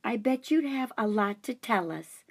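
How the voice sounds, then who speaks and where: A woman voicing a curious, kind young schoolgirl in a children's storybook, in a high, girlish voice. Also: expressive, a little slow. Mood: puzzled.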